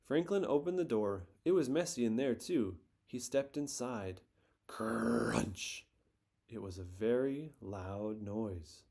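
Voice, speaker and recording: A man reading a children's picture book aloud in a gentle, expressive storytelling manner. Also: mid-range voice, slow pace, creaky.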